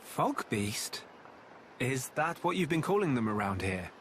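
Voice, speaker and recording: A man's voice: mid-range voice, big pitch swings, measured pace, slight background noise. A man playing an earnest, thoughtful young adventurer in a video game. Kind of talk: video game cutscene acting.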